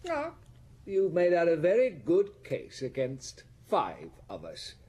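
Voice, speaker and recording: A man acting a suave, sardonic aristocratic count in a radio drama, speaking with polite, ominous deliberation and a husky voice. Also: on the high side, huge pitch swings, takes it slowly, mellow, exaggerated voice. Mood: wry.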